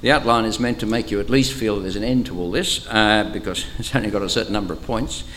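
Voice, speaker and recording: Older Australian clergyman with a low, powerful voice and a relaxed, teacherly delivery full of small hesitations, with a faint hum behind him. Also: quick pace. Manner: chatty, teacherly, frequent hesitations. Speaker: archbishop leading a Bible study. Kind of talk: interactive Bible study talk. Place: church camp meeting room. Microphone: microphone, sometimes moves away from it.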